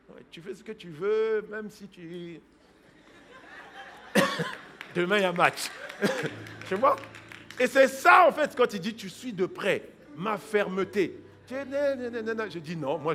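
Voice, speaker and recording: High bright-voiced pastor, hushed to shouting. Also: male voice, expressive, unhurried, pauses often. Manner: swings from hushed asides to loud exhortation; acts out congregants' excuses in quoted voices. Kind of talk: sermon.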